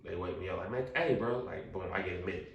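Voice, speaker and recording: A man's voice: quick pace, lo-fi sound, slight background noise. A young man, telling a story in casual slangy podcast talk and acting out quoted lines. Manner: animated, slangy, acts out quotes in a high voice. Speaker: podcast guest. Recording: roomy echo, distant mic.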